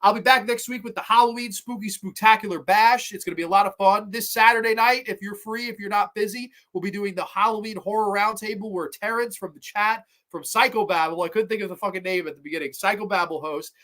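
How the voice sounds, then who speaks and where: A man's voice: high-pitched, steady tone, fast, hardly any pauses, a bit bright. A young man hosting a horror movie livestream, rambling and opinionated, swearing casually and a little congested with a slight cough. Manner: rambling, opinionated, swears casually, reads chat comments aloud. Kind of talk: casual livestream review chat.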